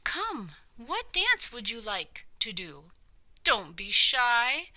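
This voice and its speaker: A woman's voice: mid-range voice, sharp tone, dry recording, full character voice, rough recording. A woman voicing a gallant, merry male street performer with a bold, almost sing-song delivery in a children's storybook. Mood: coaxing, playful.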